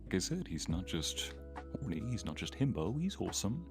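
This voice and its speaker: A man's voice: low voice, big pitch swings, brisk, music in the background. A man chatting casually as a guest on a livestream, joking and chipping in.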